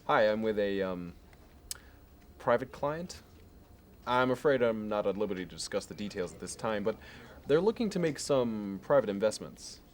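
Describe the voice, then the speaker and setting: Male actor as a smug investment banker, lowish pitch, measured, fastidious, contemptuous, ASMR roleplay, noisy background. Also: expressive, natural voice. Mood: businesslike politeness. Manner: smooth sales talk, measured and fussy. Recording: clear background noise.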